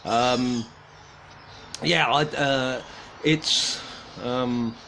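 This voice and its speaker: A man's voice: medium pitch, fairly even intonation, vocal fry, pushed and bright, a little hiss or hum. A man from Australia with a British accent, hosting a casual livestream and greeting viewers, hesitant and in a subdued mood. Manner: halting, hesitant, many pauses and restarts. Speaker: livestream host of a carving group.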